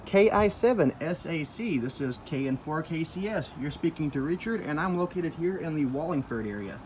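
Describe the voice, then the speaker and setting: A man's voice: a little high, expressive, moderate pace, darkish tone, slight background noise. A man working as an amateur radio operator, chatting casually and giving call signs outdoors on a rooftop. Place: outdoors on an apartment building roof.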